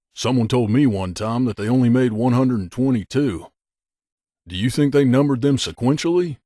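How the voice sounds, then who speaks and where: A man's voice: mid-range voice, no echo, studio quality. A man voicing a troubled, guilt-haunted wasteland companion in a video game, speaking in an earnest, plain-spoken way. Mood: wry, musing. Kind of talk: video game voice acting, dramatic dialogue.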